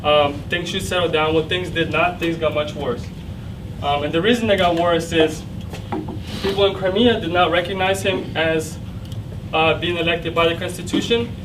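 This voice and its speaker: Young Ukrainian-accented man, native Russian speaker, student presenter, somewhat high voice, recorded far off in a lecture room. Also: fairly even intonation, normal speed. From central Ukraine. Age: young adult. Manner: explanatory, with frequent fillers and restarts. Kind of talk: classroom presentation with slides. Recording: distant mic with clear background noise.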